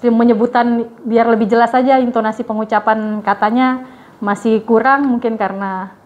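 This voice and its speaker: A woman's voice: a little high, steady tone, moderate pace, darkish tone, highs cut off. A woman coaching trainees to read the news, in a casual, chatty way with many fillers and restarts.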